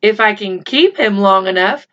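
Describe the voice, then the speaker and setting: A woman's voice: medium pitch, expressive, moderate pace, fairly bright tone, close to an everyday voice. A woman voicing an earnest, hopeful schoolboy who guards a secret, in a storybook reading. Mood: hopeful, hesitant. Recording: slightly dull, lo-fi home recording.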